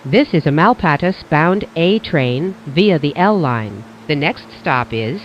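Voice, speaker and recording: Transit announcer: measured, formulaic scripted delivery with animated pitch, dull recording over faint vehicle noise. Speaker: train announcer.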